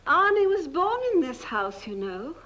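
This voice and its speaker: An actress playing a fussy, doting, eccentric older sister with a fluttery, genteel manner of chattering in a film. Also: female voice, sits high, big pitch swings, a little slow, highs cut off. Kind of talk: theatrical horror-comedy film acting. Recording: noisy old film soundtrack.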